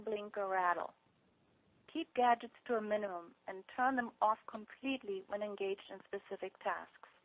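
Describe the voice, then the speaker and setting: A woman clinician reading a prepared advice talk to patients in a measured, instructional manner over a telephone conference call. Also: on the high side, no room sound. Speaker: clinician speaker presenting to patients. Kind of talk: prepared talk read aloud, giving practical advice. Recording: tinny narrow-band telephone conference line.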